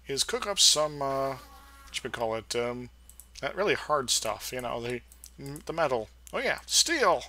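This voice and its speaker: Young male let's play host, casual and rambling, playful asides, bright tone. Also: medium pitch. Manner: rambling, playful, fumbles for words. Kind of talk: casual gameplay commentary.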